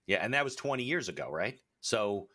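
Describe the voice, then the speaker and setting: A man's voice: lowish voice, sing-song, fairly quick, bright, forceful tone. A man from the United States hosting an interview, mostly giving brief affirmations and wry jokes. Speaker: interview host. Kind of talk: casual interview conversation.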